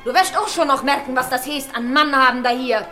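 An actress playing a blunt, earthy village wife in a radio play, scolding colloquially. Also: female voice, sits high, a little fast, music in the background, close to an everyday voice. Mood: sharp, knowing. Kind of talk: German radio play acting.

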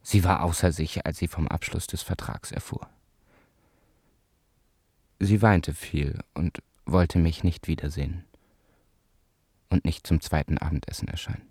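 Deep husky, proud emperor. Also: male voice, measured pace, long pauses, a little mellow, exaggerated voice. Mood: resolute, stern. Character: Napoleon, proud resolute male emperor recalling negotiations. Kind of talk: dramatized reading of historical memoirs.

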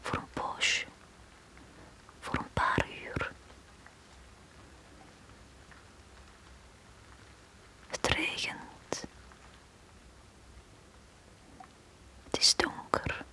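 An actress playing a forlorn, lovesick woman in a radio drama from the 1980s, speaking in a hushed, breathy, poetic manner. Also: lightly acted, poor audio. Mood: longing, dreamy. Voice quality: breathy, whispery. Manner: slow, hushed, poetic delivery. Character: Tanja, forlorn, lovesick woman left on the quay.

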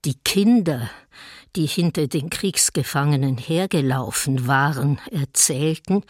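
An actress playing a grave woman witness of war, reading memories in a restrained, deliberate radio docudrama style. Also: deep voice, dry recording, clean studio sound. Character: grave female eyewitness recalling wartime horrors.

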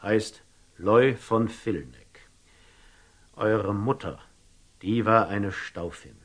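An actor playing a wise, tender older merchant and father in a classic German radio drama, measured and gently authoritative. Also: lowish voice, full character voice. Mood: grave, revealing.

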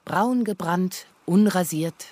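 Lowish-voiced, observant female narrator. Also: slow pace, mellow. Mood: observing. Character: observant, melancholy woman narrating what she watches. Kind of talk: literary radio drama narration.

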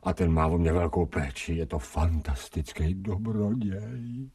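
Vain street dog thinking out loud, really low soft-edged voice, radio play, bit off mic. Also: male voice, far from the mic. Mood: smug, adoring. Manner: colloquial, musing, self-dramatizing. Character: stray male dog, fawning, vain, self-pitying, street-wise. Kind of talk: radio drama inner monologue.

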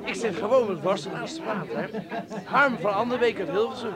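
A male actor playing a tipsy, giddy young Dutchman, giggly and halting as he repeats himself. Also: high-pitched, lightly acted. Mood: tipsy, elated. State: drunk, giggly. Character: tipsy, giddy young Dutchman carried away in a beer hall. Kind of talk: radio drama acting. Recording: loud crowd behind the voice.

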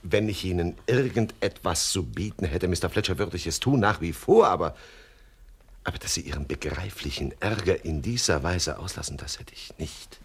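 Suave, wordy swindler, low and husky. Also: male voice, expressive, moderate pace, full character voice. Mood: feigned regret. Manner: verbose, mock-courteous, hesitant filler words. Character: suave, wordy male swindler boss, smoothly lying. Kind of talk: 1970s German radio crime comedy acting.

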